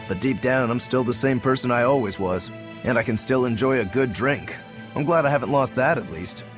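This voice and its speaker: A man's voice: mid-range voice, brisk, music in the background, lightly acted. An actor playing a laid-back, worldly male demon in a game, speaking with dry wit. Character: easygoing adult male demon, wry and worldly.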